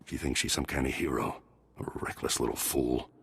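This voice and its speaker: An actor playing a stern, worried middle-aged army major and father in a video game, gruff and commanding. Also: moderate pace, fairly bright tone, slight background noise, exaggerated voice. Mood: bitter, worried. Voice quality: very deep, gravelly husky voice. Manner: gruff, commanding military delivery.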